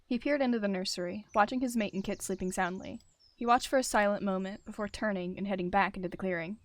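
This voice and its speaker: A woman's voice: medium pitch, quick pace, no echo. A woman narrating an animal roleplay in the third person, as a storyteller. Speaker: roleplayer narrating her character.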